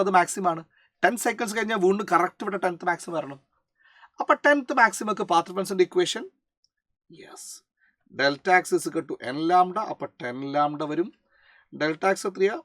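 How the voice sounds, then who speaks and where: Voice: mid-range voice, lively intonation, normal speed, pauses often, fairly bright tone, no room sound, clean studio sound. A physics teacher with a Malayali accent, explaining a worked problem step by step, mixing in English terms and often asking short check questions. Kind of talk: explanatory lesson working through a problem.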